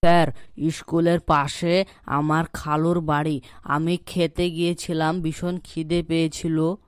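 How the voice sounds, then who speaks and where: A woman's voice: low-pitched, level tone, unhurried, smooth, dry recording, studio quality. A woman voicing a cheeky, whiny schoolboy in a comedy cartoon, full of excuses and pleading. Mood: sheepish excuses.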